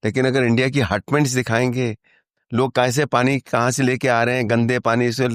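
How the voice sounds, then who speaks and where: An older man from India speaks with an Indian accent as a podcast guest, switching between Hindi and English. He explains things conversationally, with anecdotes and asides. The sound is dry and close, with a middling pitch. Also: little pitch movement, normal speed, dry recording.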